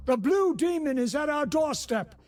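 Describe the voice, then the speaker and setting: An actor playing a proud, zealous warrior commander in a video game, speaking in a formal, commanding, theatrical way. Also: male voice, extremely high pitch, animated, moderate pace, a little mellow. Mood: rallying. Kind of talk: dramatic video game voice acting. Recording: music under the voice.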